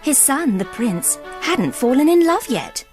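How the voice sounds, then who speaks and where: A woman narrating a scripted children's story in an expressive, dramatic way. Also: high voice, unhurried, soft background music.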